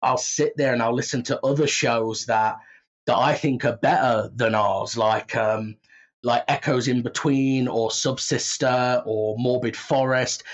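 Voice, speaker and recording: A man's voice: medium pitch, steady tone, measured pace. A man in a casual interview, self-deprecating and prone to acting out his own thoughts. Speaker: audio drama writer and creator, interview guest. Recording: slightly dull, muffled sound.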